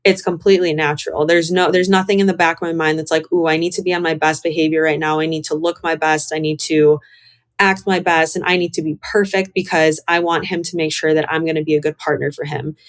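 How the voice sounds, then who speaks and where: A woman's voice: deep voice, flat delivery, quick pace. A young American woman talking candidly and casually about herself as a podcast guest, in a reflective way. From Chicago, United States. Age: young adult. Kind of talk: casual conversational interview.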